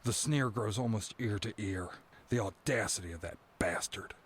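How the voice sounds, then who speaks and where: An actor playing a bitter, doomed prisoner who narrates a first-person audio drama with brooding, dramatic phrasing. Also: male voice, fairly low pitch, moderate pace, slightly rough. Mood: bitter, despairing.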